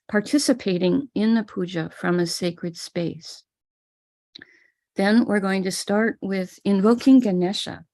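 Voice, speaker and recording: An American woman teaching a ritual on a live stream, calmly explaining each step. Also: mid-range voice, creaky. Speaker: spiritual teacher leading a ritual. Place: home room with a small altar table.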